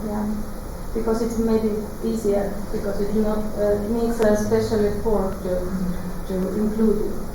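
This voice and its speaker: A woman with an Estonian accent, a native Estonian speaker, answering interview questions in English, halting and searching for words with many fillers. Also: mid-range voice, level tone. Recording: echoey room, distant mic, background noise.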